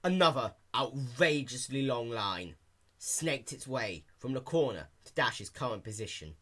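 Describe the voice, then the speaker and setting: A man reading a story aloud as an amateur narrator, in an animated scripted style. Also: medium pitch, unhurried. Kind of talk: animated fan-fiction narration.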